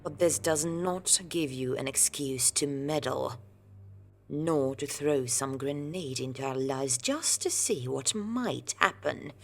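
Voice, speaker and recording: A woman playing a stern, suspicious elder sister, a dark elf warrior in an audio drama, cutting and imperious. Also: rumbling low, takes it slowly, exaggerated voice. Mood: stern, accusing. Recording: music under the voice. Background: music.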